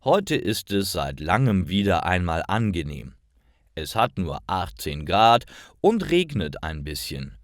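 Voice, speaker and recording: Slow, very animated male story narrator. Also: sits low, no echo, studio quality. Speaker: narrator of language-learning stories. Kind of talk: scripted story narration for learners.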